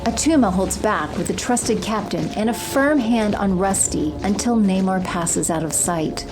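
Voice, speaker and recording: A woman's voice: medium pitch, unhurried, music in the background, low-quality recording. A woman delivering scripted dramatic narration as the narrator of an audio story.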